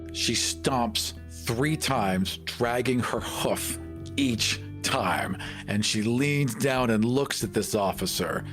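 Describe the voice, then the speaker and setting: A man's voice: mid-range voice, slow pace, over music. A man playing a tabletop game, chatting casually and narrating his moves in a wry, profane manner.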